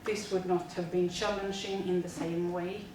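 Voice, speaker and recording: A woman's voice: lowish voice, flat delivery, normal speed, very echoey, recorded from a distance, background noise. A woman, a teacher reading a prepared presentation steadily with frequent fillers. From Sweden. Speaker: teacher presenting a school project.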